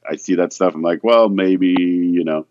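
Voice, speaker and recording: A man's voice: deep voice, normal speed, muffled recording. A man from France, a photographer, talking casually and hesitantly as an interview guest, with many restarts. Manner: soft-spoken, hesitant, many restarts and "you know".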